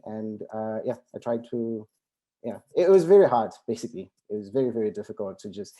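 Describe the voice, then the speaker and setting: Hesitant male researcher, low dark voice, halting panel talk. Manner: hesitant, many fillers and restarts. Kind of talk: academic panel discussion.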